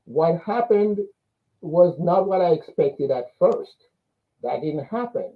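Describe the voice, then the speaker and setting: An older man with a Jamaican accent speaks through a narrow, phone-like connection. His voice sits fairly high for a man as he recounts his life story as an interview guest. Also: expressive, takes it slowly. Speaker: interview guest, business coach.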